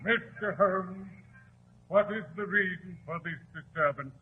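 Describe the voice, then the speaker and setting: An actor in a 1940s radio drama playing a dignified judge who speaks formally and with authority. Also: male voice, sits high, unhurried, breathy edge, exaggerated voice. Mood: stern, measured. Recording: muffled, tinny old radio recording.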